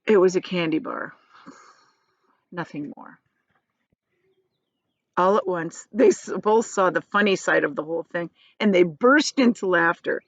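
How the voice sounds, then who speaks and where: A woman reading a children's novel aloud as a teacher would, in expressive storybook narration. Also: on the low side, a little slow, long pauses, no room sound.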